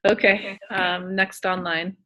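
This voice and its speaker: A woman's voice: lowish voice, slow, muffled recording. Laid-back woman instructor running class intros. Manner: relaxed, laughs often, joking. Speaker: course instructor.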